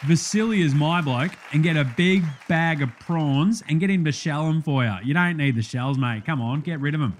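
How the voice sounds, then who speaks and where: A man plays a brash, matey Aussie TV cook with a high-pitched voice for a bloke. He has an Australian accent and rattles through kitchen instructions in casual slang, as in a parody cooking show. There is clear background noise around him. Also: moderate pace, hardly any pauses, natural voice. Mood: matey, instructive.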